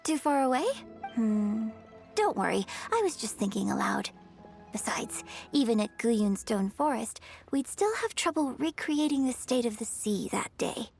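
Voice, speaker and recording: Poised young woman opera performer, video game dialogue, patient and articulate, measured, fairly high voice, music behind. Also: lively intonation, gravelly, darkish tone. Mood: thoughtful, encouraging.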